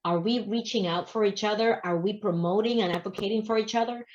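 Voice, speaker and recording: A woman in her forties moderates a panel from a home office. She speaks in an affirming way and sums up what her guests say, at a mid pitch. Her voice comes over a dull, lo-fi video call. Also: fast, a little mellow. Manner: affirming, recaps guests' stories.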